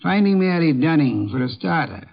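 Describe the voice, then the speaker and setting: Pompous old-radio detective boss, gravelly, higher voice, dull muffled 50s recording. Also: male voice, sing-song, full character voice. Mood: testy, commanding. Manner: pompous, formal, dry, deliberate phrasing. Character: gruff, pompous armchair detective, a male boss who loves beer. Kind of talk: 1950s American radio drama acting.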